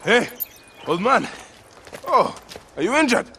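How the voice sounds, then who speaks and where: Grieving warrior father, blunt and lively in pitch, game cutscene, noise behind. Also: takes it slowly, gravelly, full character voice. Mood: friendly. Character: grieving, determined Egyptian warrior, protective father. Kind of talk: cinematic game voice acting.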